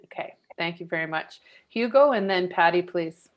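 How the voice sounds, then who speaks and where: A woman hosting an online group session, calling on participants by name in a brisk, practical way. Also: fairly low pitch, animated. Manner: brisk, calls on people by name. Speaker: host.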